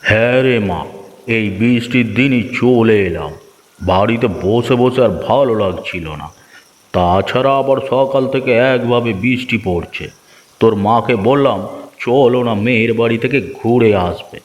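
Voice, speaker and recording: A man's voice: mid-range voice, normal speed, long reverb tail, lightly acted. A man voicing a kindly, doting old village grandfather in a children's cartoon, with an affectionate, folksy manner. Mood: cheerful, calling. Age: older. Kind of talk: children's moral story cartoon dubbing.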